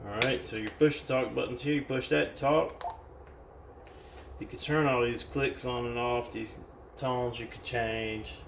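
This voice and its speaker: A man's voice: mid-range voice, steady tone, unhurried, creaky. An American man giving a casual hands-on product demonstration in a plain-spoken, loosely improvised way. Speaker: product reviewer. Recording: roomy, distant mic with background noise.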